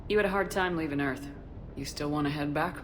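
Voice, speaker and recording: A woman's voice: low voice, brisk, noisy background, lightly acted. A woman playing a composed military commander in a video game, asking direct questions with a dry manner. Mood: calm, probing. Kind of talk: video game dialogue acting.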